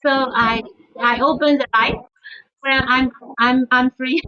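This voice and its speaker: A woman's voice: high-pitched, steady tone, slow pace, bright, forceful tone, smooth. A young woman from China, chatting as a guest on a video call, hesitant with many fillers. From China, Zhejiang. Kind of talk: casual video chat. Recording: dull lo-fi call audio.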